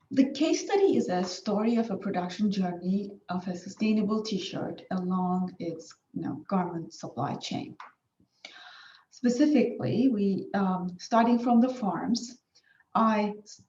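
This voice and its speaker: A woman's voice: mid-range voice, dark tone. A woman whose first language is Turkish, giving a formal academic research presentation with frequent fillers and restarts. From Turkey. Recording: far-off dull echoey room recording.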